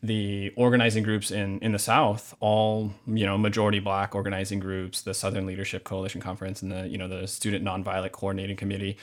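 Low-voiced man, thoughtful and measured. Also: monotone. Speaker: novelist guest. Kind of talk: literary reading and thoughtful interview answers.

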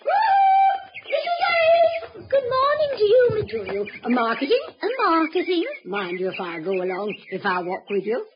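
An actress playing a chatty, nosy neighbour with a shrill, singsong, folksy manner in an old-time radio drama. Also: female voice, huge pitch swings, moderate pace. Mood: cheery, nosy. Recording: noisy, dull old radio recording.

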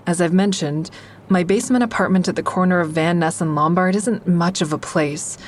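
A woman's voice: on the low side, fairly quick, a little hiss or hum, lightly acted. An actress playing a frank, sardonic young woman who narrates her own story in an audio drama in a confessional, wry way. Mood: wry, guarded. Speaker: actor in a fiction podcast.

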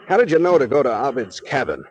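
An actor playing a brisk, level-headed captain in a 1940s radio drama, clipped and commanding in manner. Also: male voice, medium pitch, big pitch swings, a little mellow, highs cut off, close to an everyday voice. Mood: measured. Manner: clipped, commanding, matter-of-fact. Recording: noisy 1940s radio recording.

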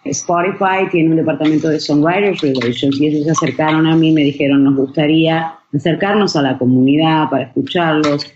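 A middle-aged woman from Argentina talks loosely in an interview, her Argentine Spanish accent clear. She restarts her sentences often and drops English phrases into her Spanish. The recording sounds dull and muffled. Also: sits low, a little fast, few pauses, noisy background. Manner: chatty, many restarts and fillers, mixes in English phrases. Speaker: songwriter guest. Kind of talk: casual interview talk.

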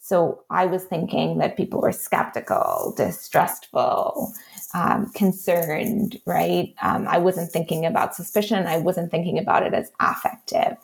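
Trinidadian woman author, gravelly lowish voice, thoughtful interview answers. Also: poor audio. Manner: thoughtful, measured, frequent "right?" and "um". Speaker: author and researcher, interview guest.

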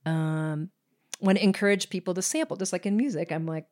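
A woman in a casual panel discussion, speaking thoughtfully and haltingly as a filmmaker guest. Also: on the low side, a little mellow. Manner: thoughtful, halting, searching for words.

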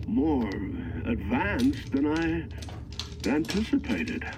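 A man's voice: fairly high pitch, very animated, slow pace. Weary, wry aging man, noisy surroundings. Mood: weary, skeptical. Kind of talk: audio drama acting.

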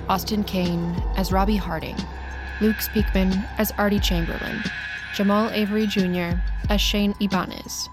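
A woman with a mid-pitched voice reads scripted credits as an announcer. Her delivery is measured and neutral, and music plays clearly behind her.

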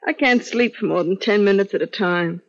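A woman's voice: mid-range voice, measured pace, close to an everyday voice. An actress playing a chatty, amused, admiring woman in a 1940s radio drama. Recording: muffled 1940s radio broadcast.

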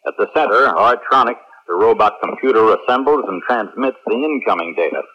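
A man's voice: on the low side, lively intonation, a little fast, bright, forceful tone. A man acting a confident, strict military spaceship captain in a 1970s radio drama, commanding and self-assured. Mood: awed, expansive. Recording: muffled 1970s radio drama recording, faint noise.

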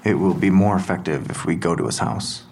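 Deep, gravelly male detective. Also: a little mellow, slight background noise. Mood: calm, official. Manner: formal, measured. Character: measured, official male police detective. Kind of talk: audio drama dialogue.

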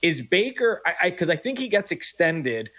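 A man's voice: sits high, very animated, normal speed, fairly bright tone. A man hosting sports radio, talking casually and thinking aloud with "like" and restarts.

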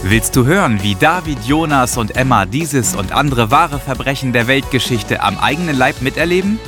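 A man of average pitch reads a scripted promo as an announcer. Plucked guitar music plays clearly behind him. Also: lively intonation, moderate pace.

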